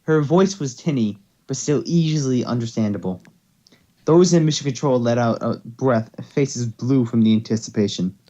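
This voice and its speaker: A man's voice: medium pitch, moderate pace, warm tone, highs cut off. A man narrating amateur fan fiction, now and then stumbling over words as he reads. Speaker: story narrator.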